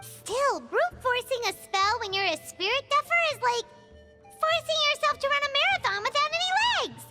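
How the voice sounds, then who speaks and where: An actress voicing a tiny, curious, excitable fairy companion in a video game, squeaky and childlike. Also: female voice, normal speed, over loud music. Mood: inquisitive, frank.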